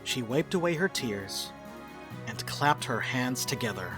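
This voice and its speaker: A man narrates a scripted drama in a mid-pitched voice. His delivery is animated and moves around freely in pitch, with faint music behind him. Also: measured pace.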